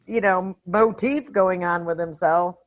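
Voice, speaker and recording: A woman's voice: on the low side, measured pace. A middle-aged woman chatting informally about a film as a podcast co-host, given to anecdotes. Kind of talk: casual film discussion.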